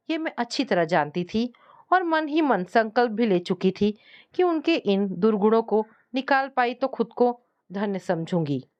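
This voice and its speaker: A woman storyteller reading a scripted first-person family drama. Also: medium pitch.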